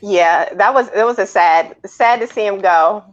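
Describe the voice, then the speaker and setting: A woman with a medium-pitched voice chats casually as a show co-host. The recording sounds a little dull and muffled. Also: normal speed. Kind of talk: casual chat.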